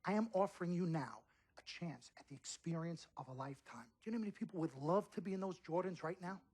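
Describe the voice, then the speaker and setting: A man's voice: high-pitched, fast, darkish tone, breathy edge. A slick male sports agent from a video game cutscene. He sells hard and emphatically, circling back on his phrases to persuade, pushy and sure of himself. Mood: persuasive.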